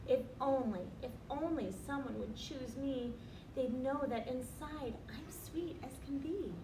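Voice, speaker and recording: Woman voicing a plaintive, high-pitched sad little cookie. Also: measured pace. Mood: wistful, envious. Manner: plaintive, wistful sing-song lament. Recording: roomy, distant, noisy recording.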